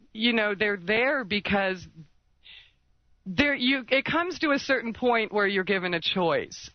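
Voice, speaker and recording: A woman's voice: medium pitch, no room sound. A woman talking insistently in an unscripted radio discussion, rambling and piling up lists. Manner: insistent, rambling, list-making. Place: call to an internet talk show. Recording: dull lo-fi internet radio call audio.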